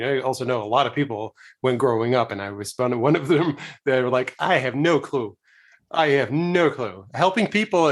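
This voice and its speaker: Middle-aged male host, loose and meandering, thinks aloud while asking questions. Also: mid-range voice. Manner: rambling, searching questions with many fillers. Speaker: interviewer, host. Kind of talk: conversational interview.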